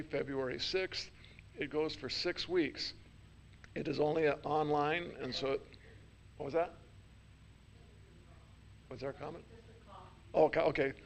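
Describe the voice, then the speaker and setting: Gravelly man giving halting church announcements. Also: on the high side, a little hiss or hum. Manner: halting, many fillers and restarts; light self-mocking humor. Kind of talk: casual spoken announcements. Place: church sanctuary.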